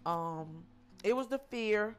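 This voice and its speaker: A woman, from Georgia, telling her life story in an interview, halting and repeating herself with frequent ums. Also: mid-range voice, lively intonation, clear, resonant tone. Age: 40s. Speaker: interview guest.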